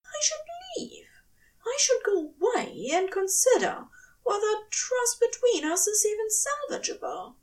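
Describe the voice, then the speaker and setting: A woman voicing an exasperated, commanding male angel in an audio story, agitated and breathy in delivery. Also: extremely high pitch, big pitch swings, measured pace, a little mellow, recorded from a distance, pitched above the performer's own voice, exaggerated voice, poor audio. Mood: overwrought, hurt. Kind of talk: dramatic audio fiction reading.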